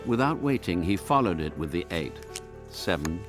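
An actor narrating a spy tale in a radio drama as a measured, scripted storyteller. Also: male voice, low-pitched, sing-song, music in the background. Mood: suspenseful.

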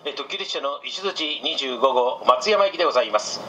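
A man's voice: mid-range voice, animated, brisk, sharp tone, rough recording. A man working as a train conductor, making polite, formulaic public announcements over the train's speakers. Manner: polite, formulaic, reciting station lists. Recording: train PA with clear background noise.

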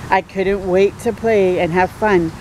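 A woman voicing a patient, playful daddy monster, gently explaining things to his young son in storybook rhyme. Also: mid-range voice, steady tone, a little slow, a little hiss or hum, pitched below the performer's own voice, close to an everyday voice, poor audio.

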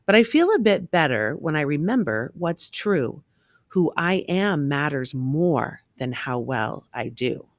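A woman reading a rhyming children's picture book aloud in an expressive, measured storytelling manner. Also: low-pitched, no room sound.

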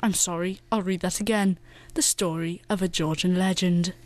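A woman's voice: lowish voice, steady tone, moderate pace, noisy background, natural voice. A woman playing a self-important, easily flustered announcer in a comic radio play. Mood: grand.

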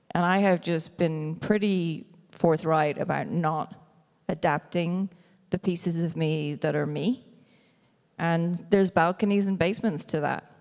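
Frank woman in her forties, echoey hall. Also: sits low. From Ireland. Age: 40s. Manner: frank, laughs often, animated. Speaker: panelist. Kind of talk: panel discussion. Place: large conference hall stage. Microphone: handheld mic. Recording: reverberant hall.

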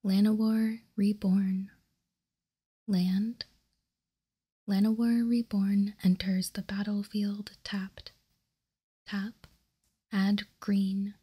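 A woman whispering softly for ASMR, reading aloud in deliberate, measured short phrases close to the microphone. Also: medium pitch, flat delivery. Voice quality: soft, breathy whisper. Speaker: ASMR video host reading trading cards. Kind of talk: whispered ASMR, slow card read-out.

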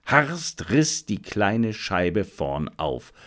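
A man reading a detective novel as an audiobook, in a measured, expressive storytelling manner. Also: fairly low pitch. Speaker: audiobook narrator. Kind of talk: scripted dramatic narration of a detective novel.

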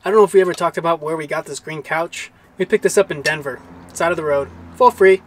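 A man's voice: on the high side, fast talker, slight background noise. A young man chatting easily as a travel vlogger, filming himself with a handheld camera on a mountain trail and in a camper van. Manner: easygoing, chatty, self-mocking. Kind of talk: casual travel vlog narration. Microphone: handheld camera at arm's length.

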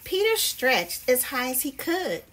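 A woman teacher reading a children's picture book aloud in a deliberate, expressive storytelling way. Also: high-pitched, slight background noise. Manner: slow, deliberate, animated storytelling for young listeners.

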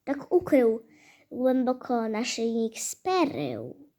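A woman storyteller narrating a fairy tale in a halting, hesitant way, with stumbles and repeated phrases. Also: a little high, huge pitch swings, darkish tone. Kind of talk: fairy-tale storytelling for a hobby audio play.

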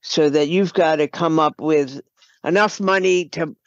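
A woman giving explanatory, halting answers as a guest in an unscripted interview. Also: low voice, dry recording, lo-fi sound. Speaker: podcast guest, writer and producer.

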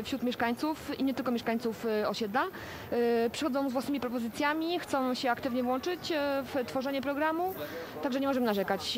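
A woman in her thirties from a community foundation, giving earnest, emphatic interview answers on a lapel mic in a shopping mall. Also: fairly high pitch. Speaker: foundation representative. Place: shopping mall seating area, indoors. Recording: mall noise behind.

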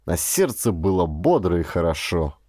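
A man's voice: sits low, very animated, moderate pace, warm tone, no room sound, studio quality. A man reading a first-person adventure story as a scripted audiobook narration.

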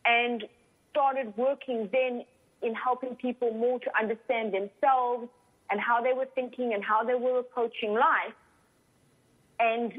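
South African woman on a phone line. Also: fairly high pitch. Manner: open, chatty storytelling. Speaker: guest coach. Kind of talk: podcast interview. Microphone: phone call. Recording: phone-line call audio.

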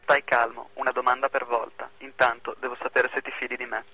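Man as a bright-voiced, secretive ex-prisoner. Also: mid-range voice, a little fast, rough recording. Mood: calm, secretive. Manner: calm, terse, commanding. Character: secretive, commanding former prisoner phoning a citizen. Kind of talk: amateur student radio drama.

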